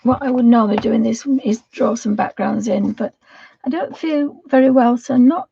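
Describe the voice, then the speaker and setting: Croaky soft-spoken woman, dull lo-fi stream. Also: a little high, normal speed, dry recording. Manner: soft, rambling, often half-whispered. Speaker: livestream host. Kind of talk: casual chat while colouring.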